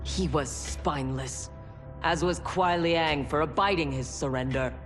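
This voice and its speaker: An actress playing a stern, scheming ninja mentor and armorer in a video game, firm and formal in manner. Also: female voice, deep voice, a little slow. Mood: scheming, scornful. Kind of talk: dramatic video game cutscene acting. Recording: long hall reverb. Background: faint music.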